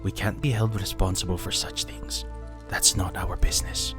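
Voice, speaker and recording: A secretive ninja with a low, level voice, acted by a man, laying out lore in a measured, matter-of-fact way. Faint music plays under him in this fantasy audio drama. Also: fairly even intonation, lightly acted. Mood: defensive. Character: Meineken, calm secretive male ninja scholar.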